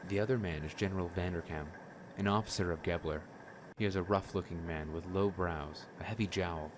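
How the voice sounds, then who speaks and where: A man reading scripted narration for an audio drama in a measured, descriptive manner. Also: low voice, noisy background.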